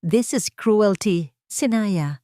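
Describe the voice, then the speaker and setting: A woman's voice: medium pitch, lively intonation, slow pace, warm tone, dry recording, clean studio sound, voice pushed up. Stern, emphatic Filipino male attorney, voiced by a woman. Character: serious male attorney, cold, determined ally.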